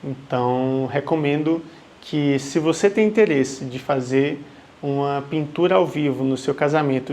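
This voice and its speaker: A man from Salvador in Brazil speaks straight to the camera, answering questions from viewers. His voice sits a little higher than most men's, and his tone is friendly and reassuring. He keeps checking in with the listener as he explains. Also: darkish tone. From Salvador, Bahia, Brazil. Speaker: live wedding painter.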